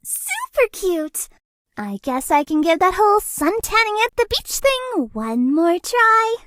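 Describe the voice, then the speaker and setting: A woman's voice: very high-pitched, sing-song, unhurried, a bit bright, heavily acted. A woman voicing a shy, sweet, lovestruck young ghost girl for a dating game, with a cutesy, bashful manner. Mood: dreamy, chatty. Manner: cutesy, bashful, gushing, with hesitant breathy asides. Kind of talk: dating game character voice lines.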